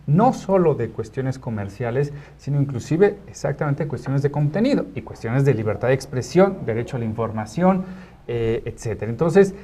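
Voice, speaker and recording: A man speaks in a soft, mellow tone at an average pitch. He hosts a studio discussion conversationally, feeling his way through ideas and often starting phrases again. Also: lively intonation, a little hiss or hum. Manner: halting, rephrasing often, seeking agreement. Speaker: talk show host. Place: internet TV studio.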